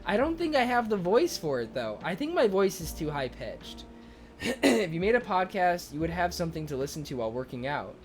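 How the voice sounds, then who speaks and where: A young man streaming, chatting casually and excitably with viewers, rambling with lots of fillers. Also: sits high, a little fast, faint music behind. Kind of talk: casual chat reading viewer comments.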